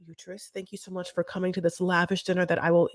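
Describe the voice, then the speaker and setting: A woman's voice: on the low side, little pitch movement, no echo, clean studio sound, lightly acted. A woman doing an impression of a stiff, overly gracious husband who speaks carefully and formally. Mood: mock gracious. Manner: prim, measured, faux-gracious.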